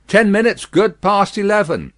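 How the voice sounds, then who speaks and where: A male narrator plays a suspicious coach guard in a classic novel. He barks curtly and loudly, pitched well up, as a challenge to all comers. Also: sing-song, moderate pace. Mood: calling out. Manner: loud, challenging, curt.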